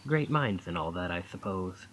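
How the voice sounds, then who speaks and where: A man's voice: low voice, animated, normal speed, fairly bright tone, a little hiss or hum. A man playing a charming young college student who is secretly a possessive, otherworldly captor, in an intimate, coaxing ASMR roleplay. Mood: friendly, coaxing.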